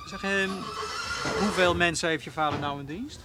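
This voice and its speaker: A man's voice: a little high, moderate pace, loud music behind the voice, close to an everyday voice. An actor playing an awkward, hesitant young man in a radio play. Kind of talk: radio drama acting.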